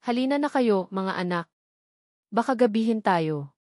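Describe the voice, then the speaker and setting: A woman's voice: mid-range voice, unhurried, no room sound, studio quality, lightly acted. Woman voicing a guarded, firm Filipino mother. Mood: urgent, motherly. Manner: calm but firm, coldly polite. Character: guarded, weary young mother denying her past.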